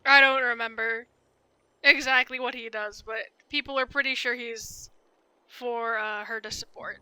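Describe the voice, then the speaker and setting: Female streamer, crisp bright high voice, casual commentary, thinking aloud, faint game music. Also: steady tone, a little slow, no room sound, poor audio.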